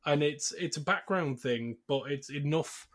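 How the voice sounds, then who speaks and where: A man's voice: a little high, a little slow, fairly bright tone. A man hosting a podcast, talking through a film in an informal, wry and casual way. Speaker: podcast host. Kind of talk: casual film discussion and plot recap.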